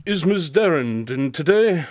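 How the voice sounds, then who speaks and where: A man's voice: on the high side, animated, unhurried, darkish tone, no echo. An actor playing a composed, secretive male detective in a radio drama, with a dry, clipped way of speaking. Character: Spencer Holmes, cool secretive adult male detective.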